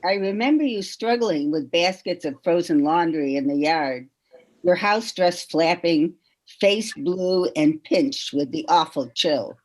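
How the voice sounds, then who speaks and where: A woman reading a poem aloud with measured, deliberate phrasing. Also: fairly low pitch. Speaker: poetry reader.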